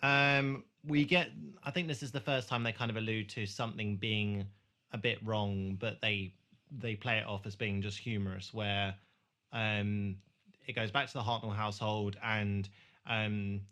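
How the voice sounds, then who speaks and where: A man chatting casually as a podcast host, recapping a TV drama in a halting way with searching pauses. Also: fairly low pitch, steady tone, pushed and bright.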